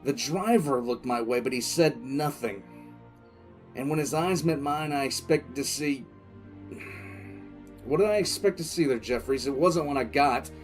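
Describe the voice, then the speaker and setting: A man playing a stern, battle-weary military commissar, telling his story in a confiding, dramatic first-person audio drama style with pauses. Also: mid-range voice, close to an everyday voice. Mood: tense, resolute. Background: music bed.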